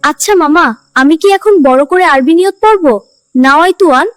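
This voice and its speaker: An eager little boy, played by a woman, speaking in a very high, childlike voice. He is innocent and devout, full of questions, in a children's Islamic cartoon. The sound is close and dry over music. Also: expressive, moderate pace, no echo. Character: earnest, eager, devout little boy keeping his first fast.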